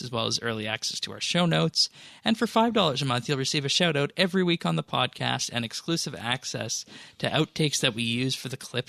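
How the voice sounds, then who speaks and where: A man hosting a podcast, reading out announcements and updates in a measured, businesslike way. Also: medium pitch, creaky, bright, forceful tone, dry recording, studio quality. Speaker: podcast host.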